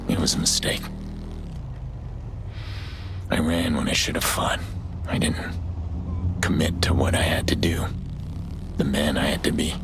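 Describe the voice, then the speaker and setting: An actor playing a gruff, weary middle-aged vigilante hacker in a video game, terse and guarded, with a gravelly, breathy voice. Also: male voice, background noise, full character voice. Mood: regretful. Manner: terse, low-key, brooding. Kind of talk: dramatic game cutscene acting. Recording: music behind the voice.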